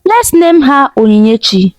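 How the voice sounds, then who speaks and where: A woman's voice: high voice, very animated, moderate pace, dry recording, soft background music. A woman voicing a kind, hopeful young husband in a storybook tale. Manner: warm, hopeful.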